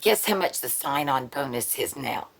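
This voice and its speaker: A woman's voice: very deep voice, animated, moderate pace, gravelly, slightly rough. Blunt, profane woman ranting. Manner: blunt, profane and sarcastic, with frequent restarts. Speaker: political commentary host. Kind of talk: casual opinion monologue.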